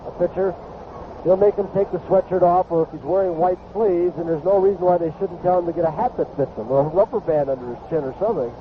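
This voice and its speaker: A tinny, noisy old radio broadcast carries a male baseball color commentator. His voice is high for a man, and he analyzes play in a conversational, opinionated and emphatic way. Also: fast talker.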